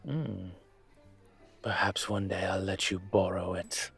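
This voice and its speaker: A man playing a suave, commanding dragon mafia boss in an intimate roleplay audio, teasing and deliberate, with a husky, breathy voice. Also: deep voice, fairly bright tone, soft background music, exaggerated voice.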